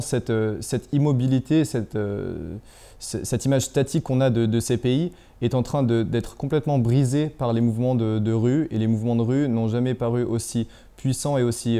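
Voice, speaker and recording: Hesitant young man with dark, smooth voice. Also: mid-range voice. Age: young adult. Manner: hesitant, many fillers and restarts. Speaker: guest. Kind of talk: interview answers.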